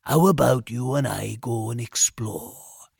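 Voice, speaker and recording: A man's voice: mid-range voice, slow pace, vocal fry, husky, dry recording, studio quality, heavily acted. A man voicing a menacing British hard lad in an audiobook, taunting and lingering, often dropping to a sinister whisper. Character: menacing, taunting male hard lad leading frightened boys.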